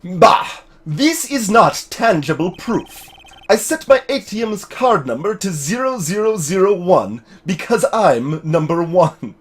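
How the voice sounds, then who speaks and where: A man's voice: sits high, big pitch swings, unhurried, breathy edge, full character voice. A man voicing a haughty, commanding prosecutor in a video game, with a pompous, clipped and dismissive delivery. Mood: indignant, boastful.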